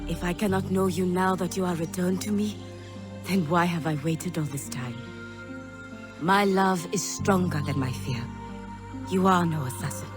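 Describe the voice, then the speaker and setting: Sorrowful middle-aged mother, played by an actress: low, tender, formal, game drama, music behind. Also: moderate pace, close to an everyday voice. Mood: solemn, loving. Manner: solemn, formal phrasing. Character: tender, sorrowful long-absent mother, freed woman in exile. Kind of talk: dramatic video game cutscene acting. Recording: background music.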